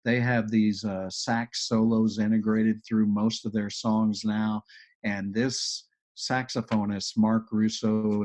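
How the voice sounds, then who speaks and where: A man with a Texas accent, an agile coach presenting in a webinar, talking in a relaxed, chatty way with many ums and restarts. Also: fairly low pitch. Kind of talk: webinar explanation and Q&A. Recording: dull, muffled web call audio.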